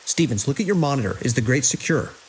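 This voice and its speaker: An actor playing a grim, commanding security chief in a horror audio drama, giving terse, urgent orders. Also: male voice, mid-range voice, animated, quick pace, natural voice, low-quality recording. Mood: tense, commanding. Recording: constant hiss and rain-like noise.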